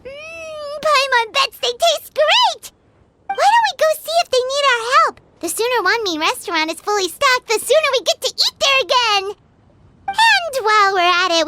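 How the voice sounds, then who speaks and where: A woman voicing a tiny, childlike, food-loving fairy companion in an anime-style video game, with a squeaky voice and an exclamatory manner. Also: very noisy. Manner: refers to herself in third person, exclamatory. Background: loud game music.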